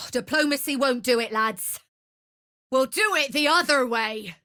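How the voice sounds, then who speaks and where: Female mercenary leader, video game: calculating, persuasive, commanding. Higher register, slow delivery, close dry sound. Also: bright, forceful tone, no echo. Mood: defiant, commanding. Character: scheming, smooth-talking female mercenary leader plotting a takeover. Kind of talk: video game character acting.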